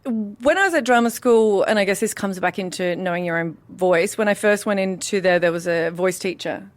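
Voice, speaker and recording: A woman in candid conversation, telling stories in an animated way and acting out remembered dialogue. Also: mid-range voice, moderate pace.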